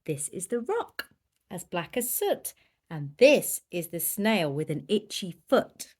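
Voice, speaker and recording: Slow, animated woman reading a picture book. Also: lowish voice, breathy edge. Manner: dramatic, emphatic storytelling. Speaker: volunteer story reader. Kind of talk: expressive children's picture book read-aloud.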